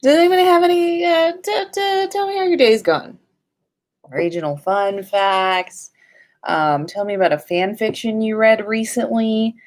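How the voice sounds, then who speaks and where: A woman's voice: fairly high pitch, fairly even intonation, measured pace. A woman hosting a casual livestream in a playful, informal way, sometimes sing-song and casually sweary. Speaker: livestream host.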